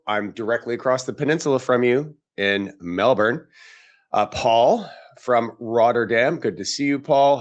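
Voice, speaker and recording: A man hosts a live podcast in a loose, chatty way. His voice is hoarse and raspy from days of talking, yet it bounces with lively swings in pitch. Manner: chatty, upbeat, greeting viewers. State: hoarse, tired voice. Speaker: podcast host.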